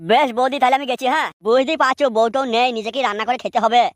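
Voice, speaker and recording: A woman's voice: sits high, fairly even intonation, rapid-fire, dry recording, studio quality, natural voice. A woman voicing a brazen, sly village man carrying on a secret affair in a comic cartoon, talking in a cheeky, colloquial way. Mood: sly, eager.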